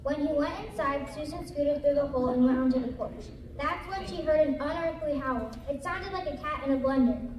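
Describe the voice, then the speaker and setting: A woman's voice: high voice, fairly quick, few pauses, darkish tone. A female student narrator reading a scripted story aloud in a reader's theater performance. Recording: echoey room, distant mic, background noise.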